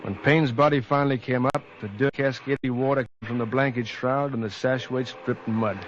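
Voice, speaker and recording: A man's voice: medium pitch, steady tone, normal speed, a little hiss or hum, natural voice. A man playing a world-weary cop who narrates an old-time radio crime drama in a terse, brooding, hardboiled manner, on a vintage recording. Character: world-weary male cop narrating his own guilty downfall. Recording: dull vintage radio recording, music behind.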